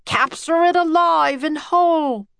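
A woman's voice: high voice, takes it slowly, dry recording, pitched above the performer's own voice. A woman voicing an authoritative male professor in a children's storybook, calling out eager orders. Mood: commanding, eager.

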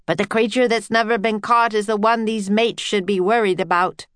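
A woman's voice: mid-range voice, fairly even intonation, moderate pace, a bit bright, smooth, dry recording, voice pushed up, lightly acted. Woman voicing a hearty old-time sailor man. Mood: ominous. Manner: archaic nautical talk with ayes and mates. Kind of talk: children's audiobook character voice.

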